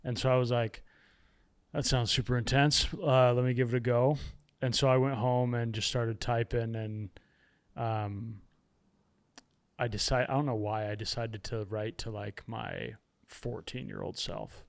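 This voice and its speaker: A man's voice: mid-range voice, fairly even intonation, measured pace, vocal fry. A man who writes and hosts his own podcast, speaking as a guest and talking candidly about himself with self-deprecating humor. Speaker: podcast guest, author and podcast host. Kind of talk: reflective casual conversation.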